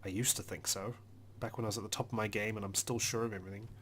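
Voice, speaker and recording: A man reading a video game's story aloud as a let's player, in an expressive, dramatic narrating style. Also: lowish voice, racing, a little hiss or hum. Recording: music behind the voice.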